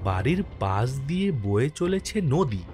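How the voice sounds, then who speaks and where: A man playing an easygoing village postmaster who narrates his own ghost story in an expressive, dramatic way for a horror cartoon. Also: medium pitch, a little slow. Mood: uneasy, suspenseful. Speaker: first-person narrator and lead character. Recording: music under the voice.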